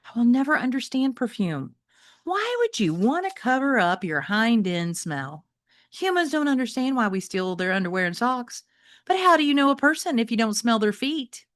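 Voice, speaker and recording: A woman voicing a wry, wistful three-legged shelter dog who loves food, telling a children's story in an expressive, conversational way. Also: fairly high pitch, normal speed, no echo, clean studio sound, pitched above the performer's own voice. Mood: wry, puzzled. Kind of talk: first-person children's novel read aloud.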